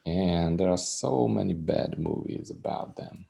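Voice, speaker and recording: A deep, gravelly man with a Hungarian accent, speaking slowly and calmly. His voice is dark and mellow, and he sounds reserved and soft-spoken as he chats about his music. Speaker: musician guest. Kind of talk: casual interview chat.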